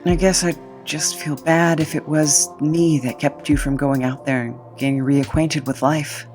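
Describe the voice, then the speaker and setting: A man's voice: on the high side, level tone, measured pace, a little mellow, soft background music, lightly acted. A man playing a weary, drifting young adventurer in an audio drama, hesitant and resigned. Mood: wistful, resigned.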